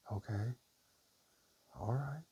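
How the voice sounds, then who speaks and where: A man speaking softly and casually in an ASMR style, sometimes whispering, with a hesitant, unhurried, low gravelly murmur. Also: dull audio. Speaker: ASMR creator. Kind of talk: ASMR, soft-spoken and whispered personal talk. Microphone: camera or basic mic, spoken close. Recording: faint hiss on amateur mic.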